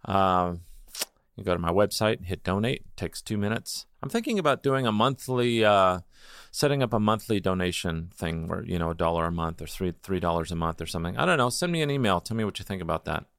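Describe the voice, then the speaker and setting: A man with a low, gravelly voice hosts his own show, thinking out loud in halting, filler-heavy sentences. He sounds a little blue. The sound is close and dry, with no room around it. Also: lively intonation, no echo. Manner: rambling, halting with many fillers. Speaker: podcast host; opera chorus singer. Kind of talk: casual solo intro and interview.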